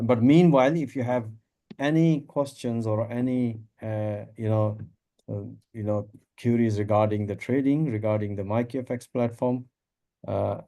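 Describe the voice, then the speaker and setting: A man's voice: on the low side, mellow. A man hosting a live forex trading webinar over a video call, talking with lots of fillers and encouraging attendees.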